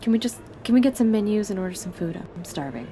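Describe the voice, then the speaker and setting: A woman's voice: mid-range voice, animated, fast talker, warm tone, a little hiss or hum, natural voice. An actress in her twenties playing a guarded, defensive young woman in a film drama, talking in a conversational, evasive way. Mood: guarded, frustrated. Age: 20s. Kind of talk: naturalistic film drama dialogue.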